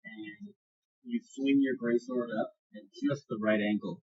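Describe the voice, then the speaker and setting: A man improvising narration as game master of a tabletop fantasy game, describing the action in halting, vivid detail. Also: mid-range voice, fairly even intonation, slightly rough, dull audio.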